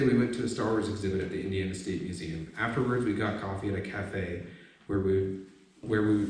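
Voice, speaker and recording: A man with a fairly low voice reads a personal essay aloud to an audience. He is picked up from a distance in a very echoey room, with faint noise behind him. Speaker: author reading a personal essay at a book launch. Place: echoey room at a live book launch event.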